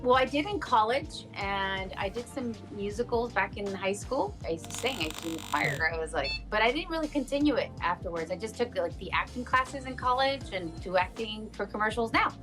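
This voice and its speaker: A woman's voice: medium pitch, expressive, a little fast, few pauses, a bit bright, low-quality recording. Playful woman chatting, music behind her. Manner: playful, self-joking. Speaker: voice actor being interviewed. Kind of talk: casual chatty interview.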